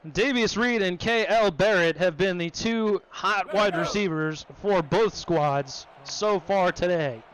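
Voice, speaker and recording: A man calling play-by-play for a simulated football game, brisk and excitable, joking about his own voice. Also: sits high, a little hiss or hum, poor audio. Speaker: play-by-play commentator. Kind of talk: play-by-play sports commentary.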